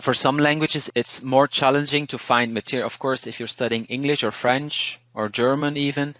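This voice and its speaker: A man from Belgium with a Belgian Dutch accent whose first language is Dutch, a language teacher talking through learning tips in a relaxed, thoughtful way, pausing and restarting words. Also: mid-range voice, no room sound. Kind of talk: interview, casual advice.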